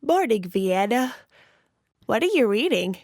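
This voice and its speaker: A woman's voice: a little high, big pitch swings, measured pace, background noise. A woman voicing a caring, frank pony friend, encouraging and candid, in conversational audio drama dialogue. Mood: caring, insistent.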